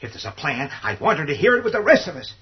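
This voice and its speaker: An actor playing a selfish, abrasive family man, raspy and complaining in agitation, in a horror radio play. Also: a little high, very animated, quick pace, exaggerated voice. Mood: panicky, impatient. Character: panicky, selfish, abrasive husband and father. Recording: dull, muffled audio.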